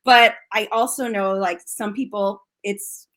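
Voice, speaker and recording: An American actress talking casually as an interview guest, chatty and full of restarts and fillers. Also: female voice, mid-range voice, fairly even intonation, unhurried, a bit bright. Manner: chatty, frequent fillers and restarts, laughs often.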